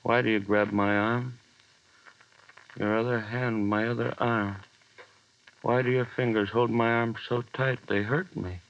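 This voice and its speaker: A man's voice: mid-range voice, measured pace, long pauses. An actor playing a lone man hallucinating as a giant spider closes in, in a breathless first-person monologue from an old radio horror drama. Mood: terrified. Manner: breathless first-person monologue, panic turning eerily calm. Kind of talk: 1930s radio horror acting. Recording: dull vintage radio recording.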